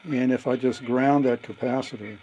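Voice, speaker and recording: A man explaining a hands-on technical repair in a methodical, matter-of-fact way, with a slightly husky, gravelly voice. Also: mid-range voice, fairly even intonation, normal speed, dark tone, dull audio. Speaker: hobbyist presenter demonstrating radio repair.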